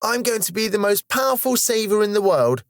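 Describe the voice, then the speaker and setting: A man doing an excitable, high-pitched schoolchild. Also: brisk, no room sound, clean studio sound. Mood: excited boasting. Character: excitable boastful child at school.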